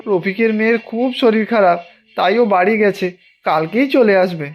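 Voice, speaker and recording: A man's voice: high-pitched, normal speed, muffled recording, faint music behind. A man voicing a humble, resigned village day labourer in a cartoon, with folksy, plain-spoken delivery. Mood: deferential. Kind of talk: cartoon voice acting.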